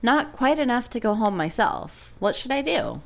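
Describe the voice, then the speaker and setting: A woman's voice: a little high, very animated, moderate pace, creaky. A woman giving a spontaneous dramatic reading of a visual novel as a Let's Play narrator.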